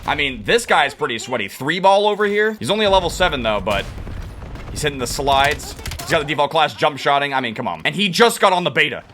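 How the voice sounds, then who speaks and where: Young male gaming creator, lively and rapid, bright edgy tone, worn voice, faint noise behind. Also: on the high side. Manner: excitable, quick to rage and laugh. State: losing his voice. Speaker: gaming youtuber. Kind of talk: live gameplay commentary.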